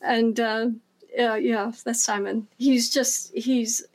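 A woman with a slightly high voice talks fondly and enthusiastically. She pauses often, restarts and fills gaps with little fillers. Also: fairly even intonation. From United States. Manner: warm, enthusiastic, halting with fillers. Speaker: singer and performer. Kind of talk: casual interview.

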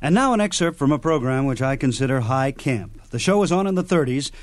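A man's voice: mid-range voice, animated, a little fast, no echo. A man hosting a radio program, introducing clips in scripted narration. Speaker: host of old-time radio program.

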